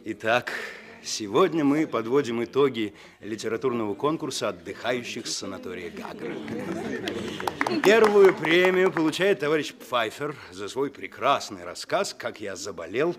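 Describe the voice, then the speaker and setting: An actor playing a courteous writer chairing a literary club in a radio drama, speaking formally. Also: male voice, medium pitch, sing-song, moderate pace, some chatter behind, natural voice. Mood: formal, genial. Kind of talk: Russian radio drama acting.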